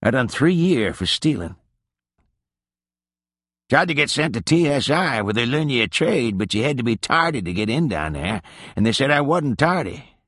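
Guy doing an old drunk, drawly hick talk, high and loud, rueful. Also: sing-song, moderate pace, dry recording, studio quality. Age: older. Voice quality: drawling, rustic dialect. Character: aging Southern drinker, weary and wry male.

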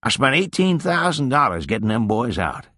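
A man voicing a weary, wry old Southern drinker with a drawling dialect, his voice raised higher and louder than his own. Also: sing-song, moderate pace, dry recording, clean studio sound. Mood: rueful. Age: older.

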